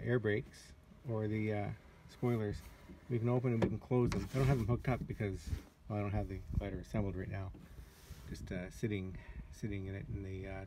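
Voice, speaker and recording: Low-voiced man, halting casual walkthrough. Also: mellow. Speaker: glider pilot and owner giving a tour. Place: outdoors in an open glider cockpit in a driveway. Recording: handheld camera recording with clear background noise.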